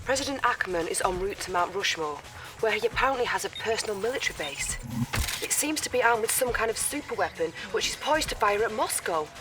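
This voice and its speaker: An actress playing a young female intelligence officer who briefs her commander in a crisp, earnest way. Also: medium pitch, animated, fast, music in the background, natural voice.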